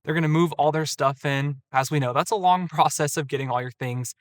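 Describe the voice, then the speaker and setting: A man's voice: fairly high pitch, fairly even intonation, brisk, dry recording, clean studio sound. A young man from Atlanta, a student coordinator, answering interview questions in an orderly, explanatory way. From Atlanta, Georgia. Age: young adult.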